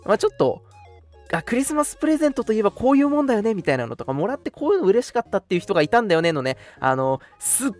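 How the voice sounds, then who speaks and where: A man's voice: high-pitched, very animated, racing, darkish tone. A young man co-hosting a live radio talk show, mixing casual, jokey banter with polite announcements. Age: young adult. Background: background music.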